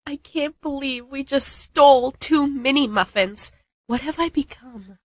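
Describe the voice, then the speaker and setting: A woman playing a shy, anxious and earnest young lover in a modern radio drama, hesitant yet expressive. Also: high-pitched, measured pace, no room sound, studio quality. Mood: guilty. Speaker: radio play actor.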